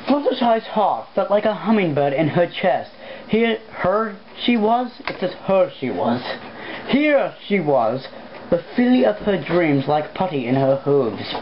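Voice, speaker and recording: An amateur narrator reads a story aloud casually, at a middling pitch. The reading is broken by irreverent, swearing asides about slip-ups. The sound is dull and lo-fi, with faint noise behind it. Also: sing-song, unhurried. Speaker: amateur fan fiction reader. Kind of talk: casual read-aloud with side remarks. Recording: dull lo-fi home recording, background noise.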